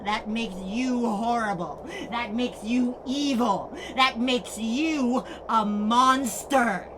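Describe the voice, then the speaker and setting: A woman playing a betrayed, wounded friend in an audio drama, speaking in a deliberate, accusing way. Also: fairly high pitch. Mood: bitter, disgusted. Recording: clear background noise and ambience.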